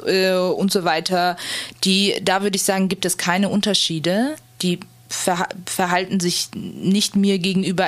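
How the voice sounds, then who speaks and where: A woman talking informally as an interviewee about personal experience, hesitant and with many filled pauses. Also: medium pitch. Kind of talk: casual personal interview account.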